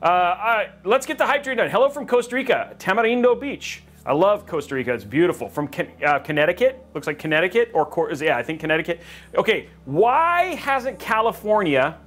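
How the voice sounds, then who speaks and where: A man's voice: high voice, very animated, a little fast, a bit bright. A man from Texas hosting a casual livestream, reading chat and joking with viewers, rambling and restarting his sentences.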